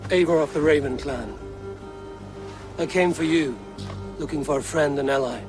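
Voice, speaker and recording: An actor voicing a steady, blunt Viking wanderer in a video game cutscene, talking in a calm, direct way. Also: male voice, fairly high pitch, moderate pace, over music, lightly acted. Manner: calm, direct and plain-spoken.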